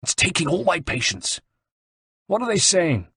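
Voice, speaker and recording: A man as a gruff, hot-tempered mercenary general, pitched up. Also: sing-song, normal speed, bright, forceful tone, dry recording, clean studio sound, full character voice. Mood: impatient. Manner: blunt, growling, impatient. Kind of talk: dramatised audiobook narration.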